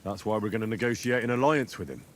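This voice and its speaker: An actor playing an earnest, plain-spoken young smith's son turned soldier in a medieval game. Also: male voice, lowish voice, quick pace, slight background noise, natural voice. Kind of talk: video game cutscene acting.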